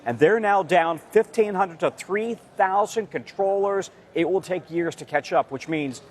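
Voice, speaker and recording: A man's voice: high voice, measured pace. A man with an American accent delivering a scripted television news report as a correspondent.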